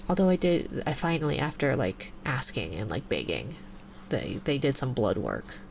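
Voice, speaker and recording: Stuffy-nosed young woman with a gravelly voice. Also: a bass voice, moderate pace, slight background noise, poor audio. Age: 20s. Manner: soft and relaxed, drifting into whispers. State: stuffy nose. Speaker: ASMR streamer. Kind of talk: casual chat to a live stream. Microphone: binaural mic.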